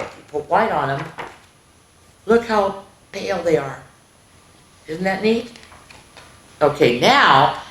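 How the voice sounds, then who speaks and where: Lady hosting kids story time, sing-songy, keeps asking the kids questions, echoey room. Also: lowish voice. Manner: animated, sing-song, asks the listeners questions. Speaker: children's story time host. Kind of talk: interactive picture book read-aloud for young children. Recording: roomy, echoey indoor sound.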